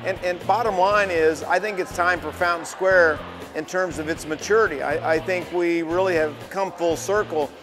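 An older man who owns a building, answering interview questions in a halting way with frequent restarts. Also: high-pitched, over music. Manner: halting, restarts, self-correcting. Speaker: building owner.

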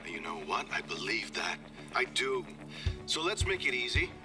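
Casting: male actor as taunting game villain, unhurried menace, medium pitch, over dramatic music. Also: big pitch swings, lightly acted. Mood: mocking. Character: smug, taunting male crime boss villain. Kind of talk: cinematic game villain acting.

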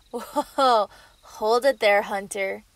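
A woman playing a possessive, mocking yandere werewolf in an ASMR roleplay, taunting and gloating with drawn-out threats. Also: medium pitch, no room sound. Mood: smug, threatening. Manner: taunting, drawn-out, laughing delivery with whispered threats. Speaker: roleplay voice actor. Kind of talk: scripted ASMR roleplay acting.

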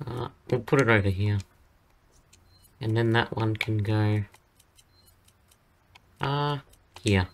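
A hoarse young man with a partly lost voice, chatting casually as he plays through a game and says "dude" a lot. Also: fairly low pitch, slow, long pauses, a little hiss or hum. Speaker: gaming channel host. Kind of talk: casual let's-play commentary.

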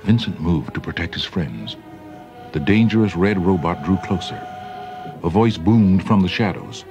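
A man's voice: low voice, expressive, moderate pace, a little mellow, dull audio. A man narrating a children's read-along story from a script. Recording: band-limited old record, music underneath.

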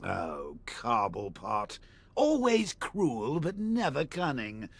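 A man voicing a manic, mocking clown villain in a video game, theatrical and drawling, with a creaky voice. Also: huge pitch swings, takes it slowly, full character voice. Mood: mocking glee. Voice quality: high, creaky. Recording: heavy background ambience and noise.

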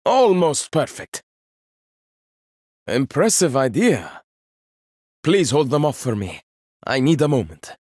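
An actor voicing a proud, witty young male inventor-warrior in a video game, speaking in measured, confident lines with dry wit. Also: medium pitch, big pitch swings, a little mellow, breathy edge, no echo, full character voice. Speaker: game character.

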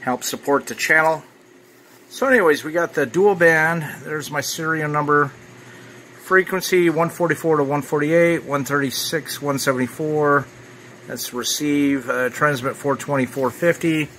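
A man's voice: fairly high pitch, normal speed, fairly bright tone, slight background noise, poor audio. A man giving casual, off-the-cuff unboxing commentary as a product reviewer. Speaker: ham radio reviewer.

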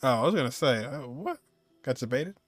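A man's voice: medium pitch, animated, a little fast, faint music behind. A man in his twenties giving casual, joking, slangy reaction commentary as he streams a video game. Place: indoors, streaming at a desk.

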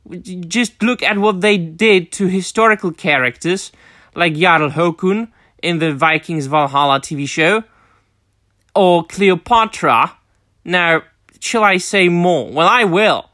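Higher-voiced man, formal monologue. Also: bright, forceful tone, no room sound, studio quality. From Czech Republic. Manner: formal, deliberate, many fillers and restarts. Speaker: channel host. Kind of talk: opinionated monologue.

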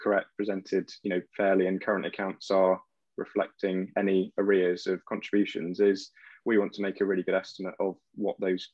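A man's voice: sits low, monotone, a little fast, no echo. A young man, an accountant, answering questions in a professional video call, hesitant with many fillers and restarts. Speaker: accountant panelist. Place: home room. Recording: dull, lo-fi video-call audio.

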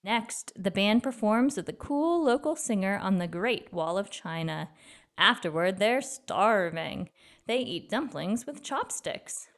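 A woman reading a children's picture book aloud as a storyteller, in an expressive, deliberate way. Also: medium pitch.

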